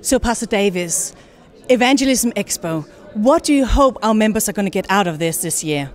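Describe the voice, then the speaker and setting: A woman's voice: mid-range voice, expressive, normal speed. A woman reporter delivering event narration and putting interview questions to guests.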